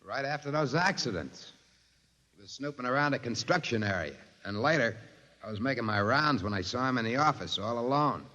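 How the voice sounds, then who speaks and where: Brief: a matter-of-fact guard witness, bright, tense tone, reverberant muffled old broadcast. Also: male voice, mid-range voice, animated, a little fast. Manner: plain, matter-of-fact testimony. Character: plainspoken male security guard. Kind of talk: 1950s radio drama acting.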